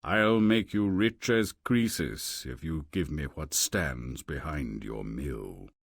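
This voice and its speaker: Slow, sinister bargaining devil. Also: male voice, a bass voice, expressive, creaky, no room sound, clean studio sound, full character voice. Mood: cold, scheming. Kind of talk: theatrical video game fairy tale acting.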